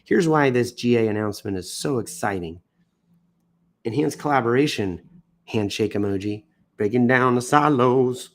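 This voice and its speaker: An American man with a mid-pitched voice reads news posts aloud on a livestream. He leans into exaggerated, theatrical emphasis and drops into self-mocking, off-the-cuff asides. He sounds somewhat sick and tired. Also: moderate pace. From United States. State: sick and tiring, coughing now and then. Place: indoors.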